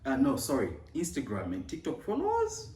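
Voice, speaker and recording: A man's voice: medium pitch, huge pitch swings, brisk, a little mellow, echoey room, far from the mic. A man co-hosting a casual couple vlog, speaking hesitantly with many fillers and laughs. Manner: hesitant, lots of ums and laughs. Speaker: co-host, husband.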